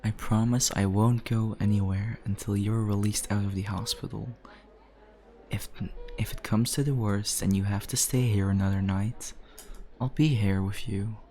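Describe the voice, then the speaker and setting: An actor playing a caring young adult brother comforting his sister in an ASMR roleplay, speaking gently and hesitantly with soft, breathy chuckles. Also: low voice, steady tone, moderate pace. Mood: affectionate, reassuring.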